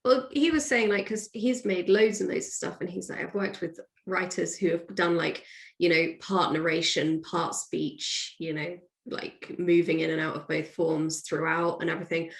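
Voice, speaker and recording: A woman chatting casually as a writer guest in an interview, telling things in an animated, chatty way with quoted asides. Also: on the low side, moderate pace, creaky. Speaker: guest, audio drama writer.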